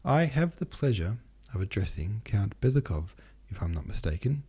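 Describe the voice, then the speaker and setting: A man reading a novel aloud as a stern, paternal old Freemason, speaking formally and deliberately. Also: fairly low pitch, big pitch swings, dark tone, breathy edge, exaggerated voice. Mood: grave, deliberate.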